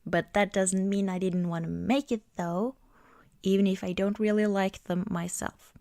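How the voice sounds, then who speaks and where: A woman doing a soft-spoken ASMR girlfriend roleplay, gentle and often slipping toward a whisper, close to the microphone. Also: medium pitch, steady tone, moderate pace, dry recording. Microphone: close microphone, dry sound.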